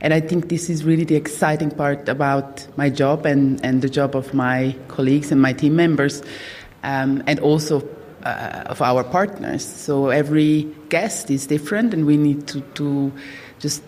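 A woman's voice: low voice, steady tone, normal speed, a little mellow, a little hiss or hum. A middle-aged woman from Croatia, speaking on a live panel about managing a destination brand, hesitant with many fillers and restarts. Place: hotel hall with audience. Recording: long reverb of a hotel hall.

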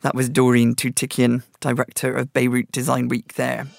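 A man presenting a feature, asking interview questions and reading scripted narration in a measured, polished way. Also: mid-range voice, completely flat delivery, creaky.

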